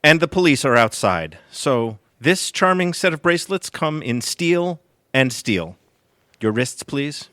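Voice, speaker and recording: A man's voice: fairly high pitch, big pitch swings, measured pace, bright, forceful tone, dry recording, studio quality, full character voice. A man playing a self-assured FBI agent in an audio comedy, formal and precise. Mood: triumphant. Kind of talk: audio theatre comedy acting.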